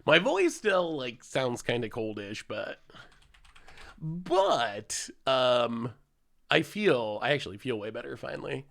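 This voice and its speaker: A man's voice: medium pitch, sing-song, slow pace, vocal fry, fairly bright tone. A man streaming a card game and chatting casually with his viewers, a little congested from a cold, laughing often as he plays. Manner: chatty, laughs often, thanks chat subscribers. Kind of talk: casual live-stream commentary while playing.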